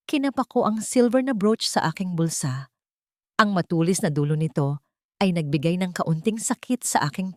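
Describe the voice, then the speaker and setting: A woman narrates a scripted first-person dramatic story in a measured, solemn, literary manner. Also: deep voice, a little mellow, dry recording, clean studio sound.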